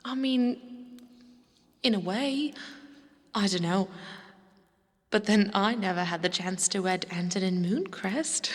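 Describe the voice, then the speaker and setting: A woman playing a devoted, naive young lowborn handmaiden in a fantasy audio drama, earnest and soothing. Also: slow, long pauses, long reverb tail. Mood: shy, earnest. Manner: soothing, earnest, plain-spoken.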